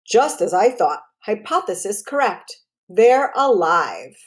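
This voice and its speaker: A woman's voice: mid-range voice, sing-song, takes it slowly. A woman voicing a confident fifth-grade boy science detective in a storybook, speaking in an earnest, professional manner. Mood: absorbed, pleased. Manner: professional, self-serious.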